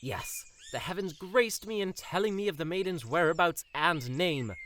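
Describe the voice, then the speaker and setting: A man's voice: sits high, animated, normal speed, very noisy. A man playing a melodramatic, lovestruck, oblivious young prince with florid formal phrasing in a comedic audio drama. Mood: smugly delighted.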